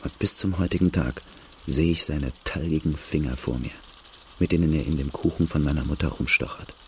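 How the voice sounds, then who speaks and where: A man playing a grave outlaw who recounts his hard life in a radio drama, measured and plain-spoken. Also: rumbling low, slight background noise. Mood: grave, reflective. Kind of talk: first-person radio drama narration.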